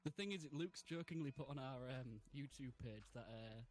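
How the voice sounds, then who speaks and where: A man's voice: medium pitch, normal speed, darkish tone. A man in his twenties chatting casually and laughing often while streaming a game. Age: 20s. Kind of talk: casual gaming chat.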